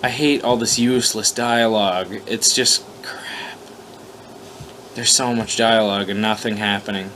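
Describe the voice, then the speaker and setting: A man's voice: on the low side, steady tone, moderate pace, sharp tone, slight background noise. A man hosting an informal reading of his own fan fiction, swearing casually, mocking himself and stumbling over his words. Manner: self-deprecating, profane, stumbling over lines. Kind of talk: casual profane commentary and informal story reading.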